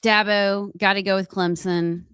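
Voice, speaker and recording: A woman's voice: mid-range voice, flat delivery, a little slow, a bit bright, smooth, dry recording, studio quality. A woman co-hosting a casual talk show who talks in a blunt, joking, offhand way. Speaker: co-host. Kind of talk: casual chat.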